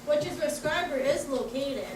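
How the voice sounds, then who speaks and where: Children's puppet show champ female performer, bantering and falsely modest, high voice in a noisy room. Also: normal speed, natural voice. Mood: chiming in. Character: showboating male boxing champion puppet. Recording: echoey, distant, noisy room.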